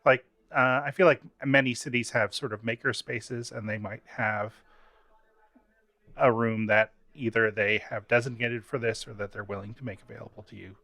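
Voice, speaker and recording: Bright, dry-sounding male interviewer. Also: mid-range voice, fairly even intonation, moderate pace, no room sound. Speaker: interviewer, host. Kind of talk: casual interview chat. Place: remote video call, dry room. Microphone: video call mic.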